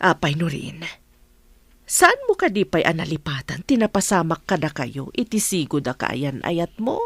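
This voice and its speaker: A woman's voice: low voice, big pitch swings, normal speed, breathy edge, no echo, full character voice. A woman acting a concerned, nudging middle-aged mother in an Ilocano radio drama, with an Ilocano Filipino accent. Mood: concerned, coaxing.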